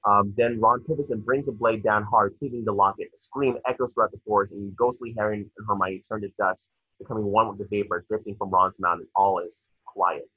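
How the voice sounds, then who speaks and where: Low, husky young man narrating. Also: fairly even intonation, fairly quick, few pauses, bright, forceful tone, no echo. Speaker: narrator reading stage directions. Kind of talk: scripted screenplay narration. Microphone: computer mic on video call. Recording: dull, dry video-call audio.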